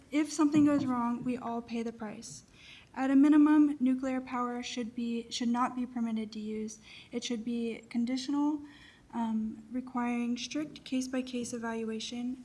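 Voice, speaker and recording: A woman's voice: on the high side, a little mellow. A young woman from Iowa giving an earnest prepared public comment at a county meeting. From Des Moines, Iowa. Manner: earnest, reads from notes.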